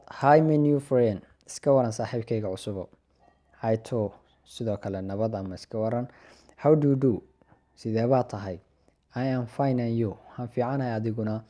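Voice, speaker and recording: A young man with a Somali accent teaching an English lesson. He reads each English line slowly and a bit louder, then gives the translation in a quieter voice. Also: mid-range voice. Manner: reads English lines slow and raised, then explains quietly. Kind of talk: language lesson, reading sample dialogues and translating.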